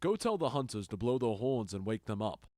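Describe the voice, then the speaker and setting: A man's voice: mid-range voice, very animated, fairly quick, darkish tone, no room sound, close to an everyday voice. Man playing a commanding duke, dry close recording. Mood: amused, curious. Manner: stately, authoritative. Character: genial, commanding male duke. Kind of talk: audio drama acting of modernized Shakespeare.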